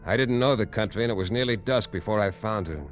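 An actor playing a hard-boiled American adventurer who narrates wryly in first person in a 1940s radio drama. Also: male voice, fairly low pitch, fast talker, highs cut off, faint music behind, lightly acted. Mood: brooding. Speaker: narrator and lead.

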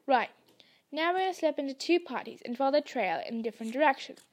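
Lady reading as a big gruff gamekeeper guy, blunt and growly, storybook voice. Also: high-pitched, animated, fast. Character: huge gruff kindly male gamekeeper.

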